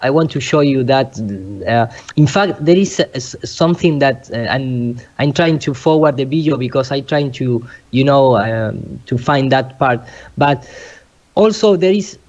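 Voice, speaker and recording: Halting Spanish-accented man, dull lo-fi audio. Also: mid-range voice, darkish tone. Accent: Spanish-speaking. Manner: halting, non-fluent English with repeated searching for words. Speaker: guest speaker. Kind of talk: informal explanatory talk. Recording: dull lo-fi online call audio.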